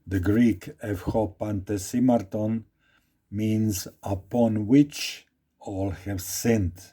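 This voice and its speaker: Croatian-accented guy doing a slow bible study, husky low voice, keeps repeating words. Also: fairly even intonation, mellow. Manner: slow, deliberate, repeats phrases while reading aloud. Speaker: Bible teacher. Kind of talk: informal Bible study talk.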